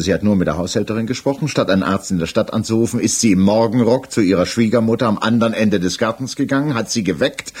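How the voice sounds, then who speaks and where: A man acting as an examining magistrate in a 1960s German radio drama, speaking in a measured, formal way with pointed questions. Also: mid-range voice, husky. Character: male examining magistrate, methodical, probing, insistent, coolly persistent. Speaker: actor in a radio crime drama.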